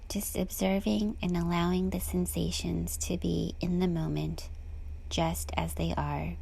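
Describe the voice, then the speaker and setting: Slow, husky woman guiding meditation. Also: on the low side, rough recording. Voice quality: soft, breathy, husky. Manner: calm, gentle, unhurried with long pauses. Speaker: meditation guide. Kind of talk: guided body scan meditation.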